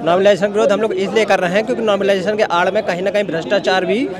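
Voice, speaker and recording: A male protester with a high voice speaks firmly, as if addressing a rally. A loud crowd surrounds him. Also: fairly even intonation, racing. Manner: firm, speech-like. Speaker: student protester.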